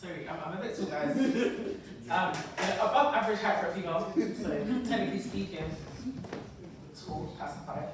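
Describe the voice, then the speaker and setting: Young woman poet chatting on stage. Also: on the low side. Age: young adult. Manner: chatty and giggly between poems, slow and deliberate when reciting. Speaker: poet and performer. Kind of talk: casual stage chat, then poetry recital. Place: large indoor event hall with audience. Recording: echoey hall, distant mic, audience noise.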